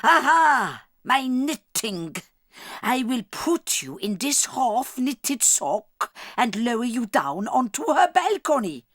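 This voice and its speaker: A doting old grandmother in an audio drama. Her voice is high and very expressive, taking its time over the words. She is sprightly and conspiratorial, recorded dry and close. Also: slow pace, no echo, full character voice. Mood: excited, scheming.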